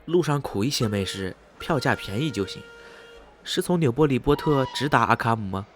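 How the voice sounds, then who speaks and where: A man's voice: mid-range voice, expressive, normal speed, soft background music, lightly acted. Man as a polite, inquiring young traveller. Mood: curious, polite. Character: polite, thrifty young male traveller asking questions. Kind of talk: radio drama dialogue.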